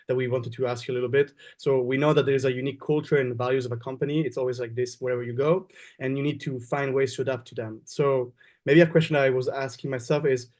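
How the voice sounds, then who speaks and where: A man's voice: medium pitch, darkish tone. A man co-hosting a podcast, asking loosely phrased interview questions. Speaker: podcast host.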